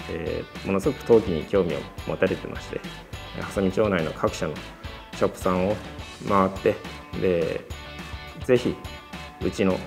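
A man's voice: low-pitched, creaky. A middle-aged man giving polite, somewhat hesitant interview remarks as a company representative. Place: school meeting room indoors.

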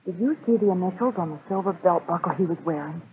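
A woman's voice: on the low side, fast talker, lightly acted. An actress playing an anxious, observant lady adventurer in a 1940s radio drama, dramatic and emphatic. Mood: uneasy. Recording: noisy, tinny 1940s radio recording.

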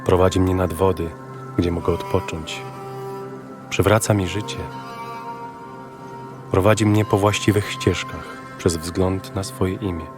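A man reciting scripture in a solemn, measured and reverent manner, as a reader for an audio Bible. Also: low-pitched, fairly even intonation. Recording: music bed under voice.

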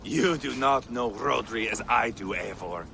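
A gravelly, scornful Viking chief. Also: male voice, mid-range voice, unhurried, sharp tone, slight background noise. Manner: mocking, crude, growling insults. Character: brash scornful Viking chieftain, crude and hot-tempered. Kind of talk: video game cutscene acting.

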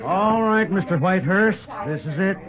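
An actor playing a folksy, grumbling small-town police chief in a 1940s radio play, gruff and blustering. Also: male voice, high-pitched, normal speed, close to an everyday voice. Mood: exasperated. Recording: tinny, noisy 1940s radio recording.

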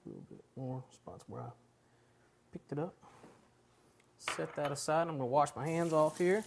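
A man's voice: on the high side, moderate pace, gravelly, a little mellow, a little hiss or hum. A man in his forties hosting a home cooking show, talking casually and enthusiastically while he works in a large kitchen. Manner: chatty, enthusiastic, talks while working.

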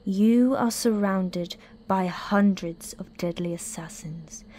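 A woman acts out a fanatical female cult leader in an audio drama. She speaks extremely slowly and imperiously, often in a menacing breathy whisper, over a noisy ambient background. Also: mid-range voice, warm tone, lightly acted.

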